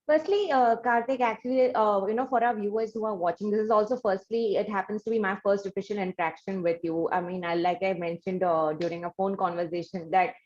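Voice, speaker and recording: A woman's voice: medium pitch, steady tone, brisk, few pauses, darkish tone, muffled recording. A woman with an Indian accent hosting a conversational interview. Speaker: interview host.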